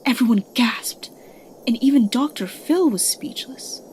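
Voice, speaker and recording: A woman's voice: fairly high pitch, animated, measured pace, a little mellow, whispered, low-quality recording. A woman narrating a scripted radio drama as an earnest storyteller.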